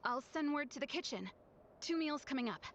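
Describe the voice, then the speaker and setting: A woman playing a brisk, obliging young clan member in a fantasy game. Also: high-pitched, expressive, fairly bright tone. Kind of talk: scripted fantasy game dialogue. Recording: muffled game audio, faint noise.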